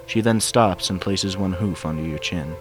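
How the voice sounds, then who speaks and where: A man's voice: low voice, normal speed. A man reading fan fiction aloud as scripted narration, with music behind him. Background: background music.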